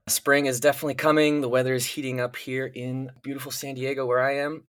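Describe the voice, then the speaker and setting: A man's voice: medium pitch, little pitch movement, fast, a bit bright, no room sound. Podcast host man, dry close sound. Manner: scripted intro, then loose chat. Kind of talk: podcast interviewing.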